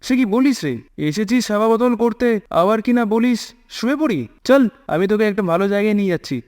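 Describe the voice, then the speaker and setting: A man's voice: sits high, expressive, fairly quick, a little mellow. A man playing a brusque, dismissive young friend in a horror cartoon, with an emphatic, familiar way of talking. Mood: dismissive scolding.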